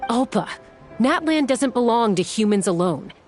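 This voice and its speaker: An actress playing a tough, principled young heroine, blunt and confrontational, in a dramatic video game style. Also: female voice, fairly high pitch, lively intonation, moderate pace, darkish tone, soft background music, natural voice. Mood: firm, reproachful.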